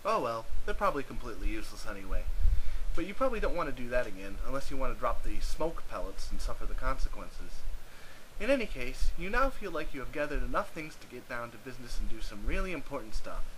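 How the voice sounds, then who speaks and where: Man narrating over clear background noise. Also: mid-range voice, big pitch swings, fast talker, bright, forceful tone. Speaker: amateur narrator of a webcomic reading. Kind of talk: dramatized scripted narration. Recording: clearly noisy amateur home recording.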